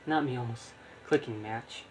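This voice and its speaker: A man's voice: mid-range voice, moderate pace, a little hiss or hum, rough recording. A man streaming a video game, thinking aloud in casual, rambling live commentary.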